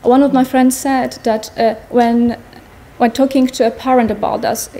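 Polish-accented woman, thirties, mellow warm tone, slightly high voice, earnest, hunts for words, speaking into stand mics in a tent. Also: fairly even intonation, normal speed, vocal fry. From Poland. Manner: earnest, searching for words, non-native phrasing. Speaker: speaker at a Buddhist gathering. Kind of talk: personal talk to an audience. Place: large tent in front of an audience. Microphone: stand mics in front of her.

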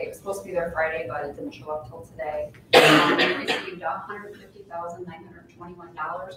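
A woman giving a finance report at a board meeting, matter-of-fact with many fillers. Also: fairly low pitch. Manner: matter-of-fact, many ums, reads out sums. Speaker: director of finance. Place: large meeting room with long tables. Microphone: table mic from far off. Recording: echoey boardroom with steady hum, far off.

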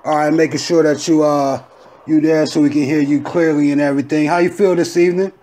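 Relaxed man repeating "okay, okay". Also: on the high side, fairly quick. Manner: loose, repeats "okay, okay" and "I got you". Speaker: interview host. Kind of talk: casual interview.

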